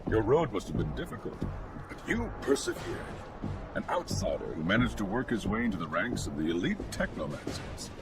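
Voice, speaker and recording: Deep-voiced, insinuating colonel. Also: male voice, big pitch swings. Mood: courteous, probing. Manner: measured, courteous, quietly probing. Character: smooth, insinuating senior colonel, falsely friendly older officer. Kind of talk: video game cutscene acting. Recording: loud music behind the voice.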